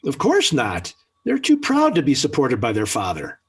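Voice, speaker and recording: A man's voice: medium pitch, wildly swooping pitch, moderate pace, fairly bright tone, breathy edge, full character voice. An actor playing a smug, scheming middle-aged American father in 1940s radio sitcom style, with pompous, emphatic speechifying. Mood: lecturing. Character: smug scheming family father, blustery and theatrical. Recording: old 1940s radio recording.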